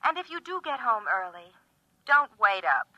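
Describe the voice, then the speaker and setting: A woman's voice: fairly high pitch, very animated, moderate pace. A woman playing a fed-up, sarcastic wife, heard through a telephone filter in 1950s radio drama style. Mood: bitterly cool. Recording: muffled, noisy vintage radio phone sound.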